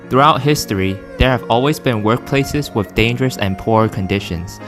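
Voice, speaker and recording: Student guy reading his script out, lowish voice, music under. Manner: earnest, read aloud. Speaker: student narrator. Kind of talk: scripted narration.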